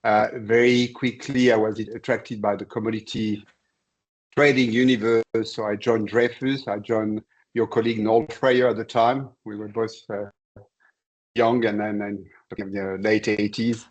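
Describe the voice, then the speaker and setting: A man in his sixties from Senegal speaks as a guest on a video call. He tells his story in a measured, halting way, with a somewhat low voice. He pauses often as he gathers his thoughts. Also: flat delivery, muffled recording. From born in Senegal. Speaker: interview guest, commodity trader. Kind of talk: conversational career account.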